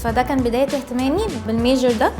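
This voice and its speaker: A woman's voice: high-pitched, fairly even intonation, racing. A 23-year-old woman with an Egyptian accent, giving fluent testimonial answers as an intern. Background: music.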